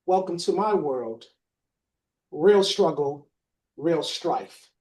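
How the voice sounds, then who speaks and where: A man's voice: on the high side, animated, a little mellow. A man from hosting a podcast, opening with a rhymed spoken-word intro and then questioning his guests. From Boston. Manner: slow, rhythmic spoken-word delivery. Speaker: podcast host.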